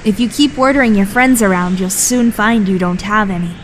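A woman's voice: mid-range voice, fairly quick, slight background noise, close to an everyday voice, low-quality recording. A woman voicing a concerned female pony companion who chides her friend in an audiobook. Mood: chiding. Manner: questioning, admonishing.

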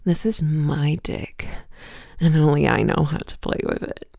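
A woman whispering softly and teasingly in a possessive, intimate audio roleplay, laughing often, close to the microphone. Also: deep voice, a little slow, creaky, dry recording.

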